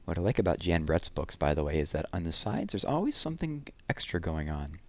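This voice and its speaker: Low-voiced man reading children's stories aloud. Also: dry recording. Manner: expressive, deliberate reading with conversational asides. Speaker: read-aloud host reading children's books. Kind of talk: storytelling read-aloud of poems and picture books.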